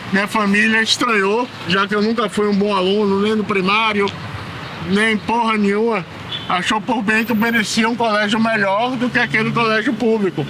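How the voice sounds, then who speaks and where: A man's voice: high voice, bright, forceful tone, a little hiss or hum. A man in his fifties from Salvador, Brazil, telling his life story plainly in a documentary interview, with casual swearing. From Salvador, Bahia, Brazil. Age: 50s. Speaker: music producer.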